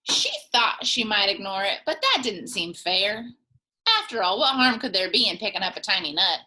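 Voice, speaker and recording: A woman teacher reading a picture book aloud to young children, expressive and full of playful asides. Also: mid-range voice, normal speed, low-quality recording. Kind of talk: children's storytime read-aloud.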